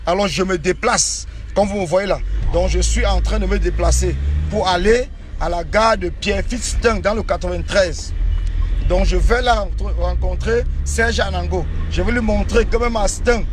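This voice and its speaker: A man's voice: high-pitched, a little hiss or hum. A man from Cameroon livestreaming while driving through the city, boastful and defiant, repeating himself, on a phone mic. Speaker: live streamer. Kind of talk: live stream while driving. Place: inside a car in the city.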